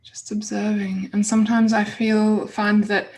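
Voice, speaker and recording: A woman guides a meditation in an informal, soothing manner. Her voice is husky and breathy, with plenty of creak at the edges. She speaks without hurry and often lets phrases fade to a near-whisper. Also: mid-range voice, flat delivery, unhurried, darkish tone.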